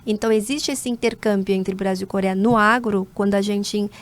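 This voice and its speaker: A woman in her forties, born in South Korea, answers interview questions in an unhurried, considered way. She pauses often with small hesitations as she gathers her thoughts, speaking like a corporate lawyer. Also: mid-range voice, steady tone. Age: 40s. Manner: measured, with frequent hesitation fillers. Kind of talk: conversational interview.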